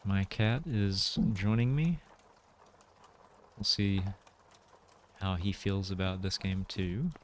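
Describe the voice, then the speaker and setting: A man's voice: deep voice, steady tone, takes it slowly, pauses often, slight background noise. A man giving casual gameplay commentary, thinking aloud in a hesitant, musing way. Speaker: video game player and commentator. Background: music.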